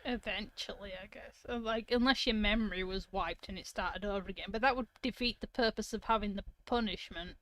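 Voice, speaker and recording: A woman's voice: mid-range voice, brisk, hardly any pauses, a bit bright, dry recording, low-quality recording. A woman co-hosting a casual stream, making dry, matter-of-fact remarks. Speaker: co-host, partner of the streamer. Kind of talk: casual live stream chat.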